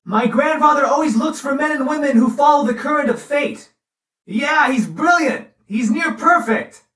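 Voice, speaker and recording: A woman's voice: sits high, animated, moderate pace, a bit bright. A woman acting a serene, mystical believer in fate for a video game, heard in a roomy, distant recording. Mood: serene, certain.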